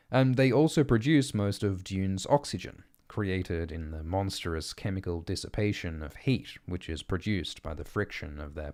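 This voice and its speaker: A man with a low-pitched voice reads prepared prose aloud in the style of an audiobook. His delivery is deliberate and measured, with carefully articulated phrases. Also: darkish tone. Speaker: audiobook reader. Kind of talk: scripted reading of essays and letters.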